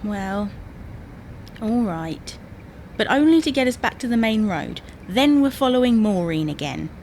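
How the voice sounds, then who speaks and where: An actress playing an exasperated, bossy British wife in a domestic comedy audio drama, nagging and insistent. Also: female voice, medium pitch, big pitch swings, unhurried, darkish tone. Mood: grudging, insistent. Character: exasperated, bossy wife navigating for her husband. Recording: noisy, car ambience.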